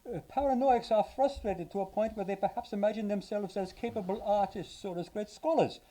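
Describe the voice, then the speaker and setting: A man's voice: high-pitched, fairly quick, dark tone, poor audio. An actor playing an earnest, long-winded asylum psychiatrist in 1940s radio detective style, hesitant and learned in his phrasing. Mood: earnest, explanatory. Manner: hesitant, professorial, wordy. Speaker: actor in live radio play.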